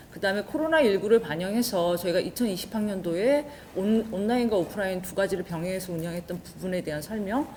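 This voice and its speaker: A woman's voice: medium pitch, dark tone. A woman, a research professor, giving a formal, explanatory presentation of a university programme. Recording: faint background noise and ambience.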